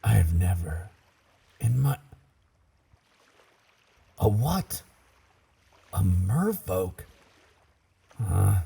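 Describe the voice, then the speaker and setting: Gruff werewolf pirate captain for audio roleplay, played by a man: very low, slow and musing, salty stage pirate accent, gravel in the throat. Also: very animated, dark tone, heavily acted. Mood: baffled, wary.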